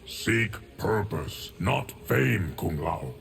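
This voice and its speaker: An actor voicing a calm, wise, ancient man in a video game, with a gravelly voice. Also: lowish voice, drawn-out words, loud music behind the voice, exaggerated voice. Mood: solemn.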